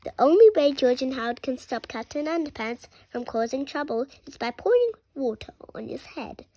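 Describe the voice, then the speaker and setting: High-pitched, animated young child reading aloud. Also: moderate pace. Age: 7 years old. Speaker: young reader.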